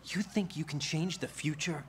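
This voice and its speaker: A man's voice: a little high, moderate pace, a bit bright, slight background noise, natural voice. An actor playing a young male soldier who asks tense, challenging questions.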